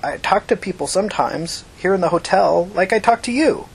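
A man's voice: high-pitched, lively intonation, moderate pace, creaky, a little hiss or hum, voice pushed up. A man reading the part of an evasive, nervous, bookish male computer whiz who answers defensively in an audiobook. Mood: flustered. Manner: guarded, hesitant, defensive.

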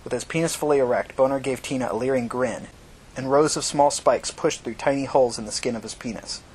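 A man's voice: a little fast, a little mellow, husky. A man narrating dramatic fiction as an audiobook reader. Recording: noisy recording with low rumble.

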